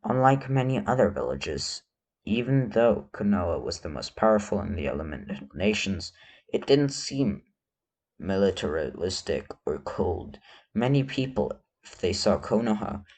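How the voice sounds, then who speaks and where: A man reading a story aloud as a narrator, a little halting in his delivery. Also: on the low side, very animated, a little mellow, breathy edge. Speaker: fan fiction reader.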